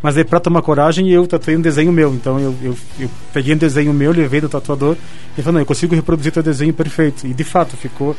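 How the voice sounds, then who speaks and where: A man with a Curitiba accent, a guest illustrator answering radio interview questions in quick, eager bursts full of restarts. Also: fairly high pitch, steady tone, darkish tone, faint music behind. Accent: Curitiba Brazilian Portuguese. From Curitiba, Brazil. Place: radio studio.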